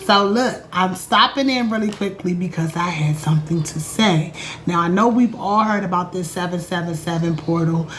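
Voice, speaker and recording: A woman talking casually to her viewers about astrology from her home, chatty and animated, calling them y'all. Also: on the low side, moderate pace, slight background noise. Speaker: astrologer and vlog host. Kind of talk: casual spiritual vlog talk.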